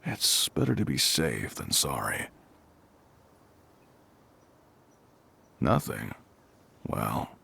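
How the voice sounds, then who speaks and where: A gruff fire captain, acted by a man with an extremely low, husky voice. His delivery rises and falls freely while staying calm and reassuring, as if speaking directly to one listener in an audio roleplay. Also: full character voice. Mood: calm, concerned. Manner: measured, matter-of-fact, reassuring. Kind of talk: intimate scripted audio roleplay spoken to the listener.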